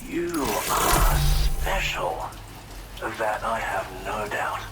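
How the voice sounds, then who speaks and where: A man's voice: on the low side, expressive, slow, over loud music. An actor playing an eccentric, courteous male researcher and healer in a video game, speaking in a theatrical, formal, effusive way. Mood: delighted, welcoming. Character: eccentric, courteous male tar therapist, enthusiastic self-taught researcher. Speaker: non-player character in a video game. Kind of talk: expressive video game character dialogue.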